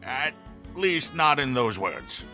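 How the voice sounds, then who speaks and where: A man's voice: medium pitch, big pitch swings, slow pace, bright, forceful tone, soft background music, heavily acted. A man playing an indignant, self-important rabbit company boss held hostage in an audio drama, sounding defensive. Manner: defensive, huffy.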